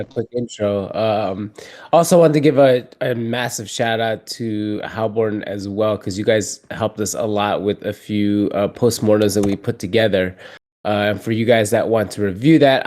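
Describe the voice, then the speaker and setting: A man's voice: on the low side, moderate pace. A man hosting a community call in a casual, loose way, with lots of fillers and restarts. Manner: relaxed, many fillers and restarts. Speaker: community host.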